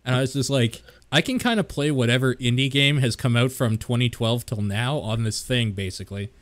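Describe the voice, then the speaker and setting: A man's voice: medium pitch, a little fast, no room sound. A man co-hosting a casual podcast, laid-back and plainspoken, with short replies and a bit of swearing. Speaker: podcast co-host. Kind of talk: casual chat.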